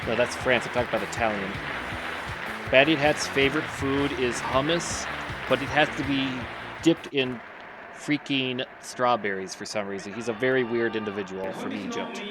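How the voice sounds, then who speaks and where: A man's voice: mid-range voice, moderate pace, poor audio. Joking Minnesotan man with game music behind. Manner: joking, teasing, rambling. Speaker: stream commentator. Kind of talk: casual game commentary with friends.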